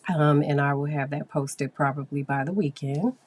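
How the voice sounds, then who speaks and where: A hoarse woman hosting a psychology podcast, talking casually and explaining things with fillers. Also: low-pitched, steady tone, moderate pace, darkish tone, a little hiss or hum. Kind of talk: casual solo podcast talk.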